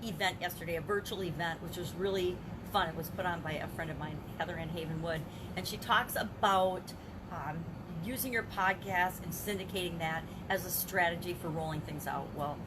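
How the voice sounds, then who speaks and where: A woman's voice: lowish voice, normal speed, background noise. An older woman and business coach talking through her day in a casual video journal, reflective, asking herself rhetorical questions and restarting her sentences.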